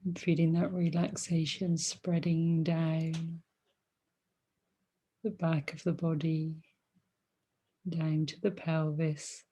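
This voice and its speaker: A woman leading a guided meditation as a teacher, speaking softly and soothingly in short phrases with long pauses between them. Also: sits low, husky, rough recording. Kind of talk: guided meditation, body scan.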